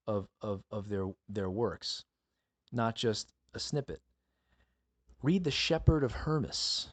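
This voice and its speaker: A man's voice: mid-range voice, darkish tone. Man giving emphatic Bible teaching. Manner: emphatic, with rhetorical questions and repeated appeals. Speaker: podcast host teaching the Bible. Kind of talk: earnest Bible teaching monologue for a podcast.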